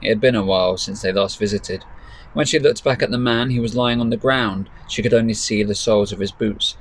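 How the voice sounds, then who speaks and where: A man reading his own story as dramatic narration, with measured, deliberate pauses. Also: on the low side, low-quality recording. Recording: faint background noise.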